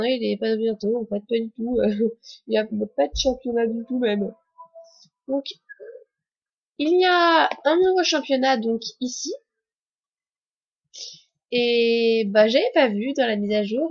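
A woman's voice: a little high, husky, highs cut off. A young woman with a cold commenting on a game update in a chatty, hesitant way full of fillers. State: has a cold. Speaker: gaming YouTuber.

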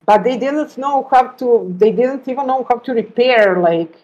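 A woman's voice: a little high, moderate pace, darkish tone, dull audio. A middle-aged woman from Serbia with a Serbian accent, telling stories conversationally in non-native English as an interview guest. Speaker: interview guest, travel writer. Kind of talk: conversational storytelling. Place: indoor room, on a video call.

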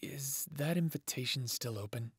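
A man's voice: mid-range voice, moderate pace, husky, close to an everyday voice. A man playing an earnest lone male werewolf who longs for family, speaking sincerely in an audio drama.

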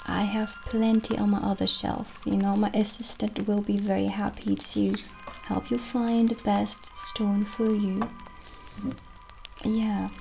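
A woman in an ASMR roleplay playing a gentle, friendly witch who keeps a potion shop, speaking softly and soothingly without hurry. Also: mid-range voice, gravelly, rough recording. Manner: soft, unhurried, soothing. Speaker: ASMR performer. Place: small dim candlelit room, indoors. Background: soft music.

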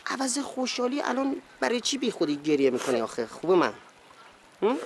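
A man's voice: extremely high pitch, sing-song, background noise, full character voice. A man playing a boastful, self-pitying character who talks theatrically, with mock praise and sulky complaints.

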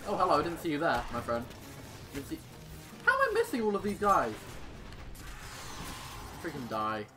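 A man's voice: on the high side, huge pitch swings, moderate pace, over music. A man doing casual gameplay commentary, sarcastic and exclaiming with mild swearing. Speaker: gaming YouTuber.

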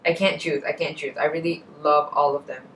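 A woman's voice: sits low, level tone, a little fast, distant mic, a little hiss or hum, poor audio. A young Indonesian woman with an Indonesian accent, chatting casually to camera in her bedroom and correcting herself as she goes. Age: young adult. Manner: chatty, self-correcting, trails off into asides. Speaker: beauty vlogger. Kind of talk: casual chat answering a tag. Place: bedroom, indoors. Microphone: camera in front, at a short distance.

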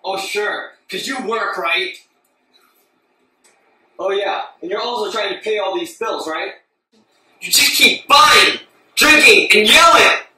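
A man's voice: high-pitched, measured pace, long pauses, sharp tone. A man acting as a worn-down young husband, pleading and exasperated in a dramatic domestic argument. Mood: bitter sarcasm. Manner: pleading, strained, accusing. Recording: very echoey room, far from mic.

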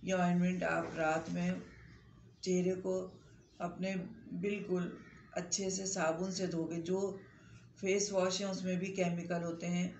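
A middle-aged woman doctor talks straight to a close phone camera, her voice hoarse from a sore throat and gravelly with fry. She explains remedies in a chatty, instructive way and holds up the products she means. The small clinic room lined with shelves sounds echoey, with a faint hiss underneath. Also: fairly low pitch, flat delivery, measured pace, darkish tone. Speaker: doctor giving skin-care advice. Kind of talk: direct-to-camera health advice talk.

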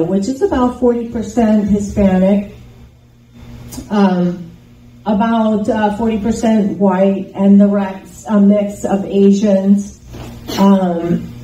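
Older woman, raised in Taiwan, native Chinese speaker, campaign speech, slow and earnest, roomy distant recording. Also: mid-range voice, warm tone. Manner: earnest, rambling with frequent restarts. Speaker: congressional candidate. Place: indoor meeting room with bookshelves. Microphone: handheld microphone. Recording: roomy sound from a distant camera.

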